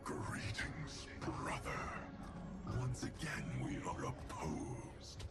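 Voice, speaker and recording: A man playing a corrupted, zealous ninja villain in a video game, gravelly and menacing, proclaiming his lines. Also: low-pitched, sing-song, very slow, full character voice. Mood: scornful menace. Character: corrupted, zealous male ninja villain, brother-turned-enemy. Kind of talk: video game cutscene acting. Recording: loud game music behind voice.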